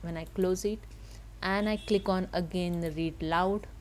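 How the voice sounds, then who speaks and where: A woman giving a step-by-step software tutorial in halting, simple non-native English, with noise behind her. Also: fairly low pitch, fairly even intonation. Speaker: tutorial host. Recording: noticeable background noise.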